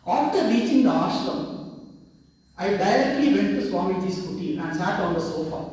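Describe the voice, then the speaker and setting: A middle-aged South Indian man, a native Tamil speaker, delivers a prepared devotional speech in a notably high voice. His manner is formal and earnest. The sound is distant, washed in the long, heavy reverberation of a hall. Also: animated, moderate pace, darkish tone. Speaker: devotee giving a memorial talk. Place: large reverberant ashram hall.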